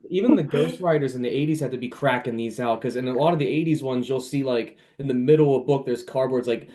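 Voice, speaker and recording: A man with a mid-pitched voice is a guest author on a podcast. He chats casually about books, informal and emphatic, and freely gives his opinions. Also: fast, warm tone. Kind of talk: casual book chat.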